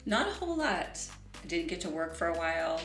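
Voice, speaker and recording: A woman co-hosting a casual talk show, playful and quick to laugh. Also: on the low side, sing-song, a bit bright, reverberant, soft background music. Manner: playful, giggly, agreeable. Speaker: co-host. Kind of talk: casual chat.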